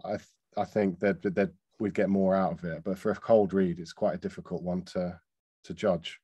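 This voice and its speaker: A man with a low, mellow voice offers informal feedback. He is thoughtful and halting, recorded close and dry. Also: steady tone, breathy edge, no echo. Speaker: casting director on a feedback panel.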